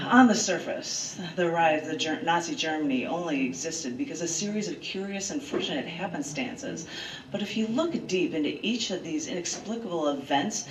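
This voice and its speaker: A woman's voice: deep voice, reverberant. Woman, live poetry reading, dramatic rhetorical delivery, distant mic, noisy room. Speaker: poet and open mic host. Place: large bookstore, indoors, live event.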